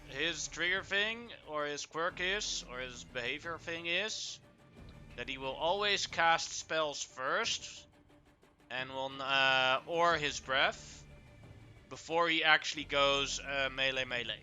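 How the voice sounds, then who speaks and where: Bright-voiced man running a tabletop game. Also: fairly high pitch, slow, faint music behind. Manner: matter-of-fact, explains rules plainly. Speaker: game master.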